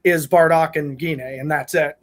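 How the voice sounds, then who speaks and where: A man's voice: on the high side, fairly even intonation, normal speed. A man hosting a podcast, reading fan fiction aloud and chatting casually. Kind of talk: casual read-aloud and group chat.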